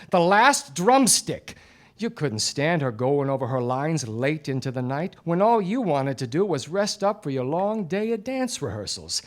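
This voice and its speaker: An actor playing a self-assured, accusing 1940s gumshoe detective in a live radio play, with a declamatory delivery. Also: male voice, fairly high pitch. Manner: theatrical, declamatory. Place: theatre stage before a live audience.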